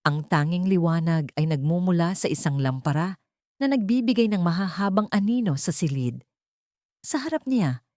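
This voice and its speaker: Female narrator, Filipino accent, for dramatic audio fiction; lowish register, very animated delivery. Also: no echo, studio quality. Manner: theatrical, expressive.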